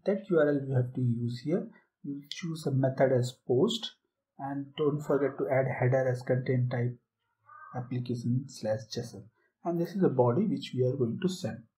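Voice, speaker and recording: A man presenting a software tutorial, explaining a screen-share demo step by step with occasional fillers. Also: mid-range voice, moderate pace, rough recording.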